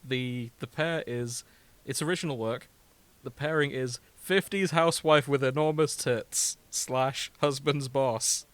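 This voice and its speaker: A man's voice: medium pitch, a little slow, smooth, dry recording. A British man co-hosting a podcast in a casual, comedic chat, amused and prone to giggling. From Britain. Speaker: podcast co-host.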